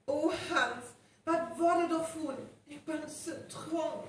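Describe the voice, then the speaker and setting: A woman with a North Frisian accent playing an excitable, fretful village woman in a live radio play. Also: high voice, slow. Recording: very echoey live stage, distant, faint noise.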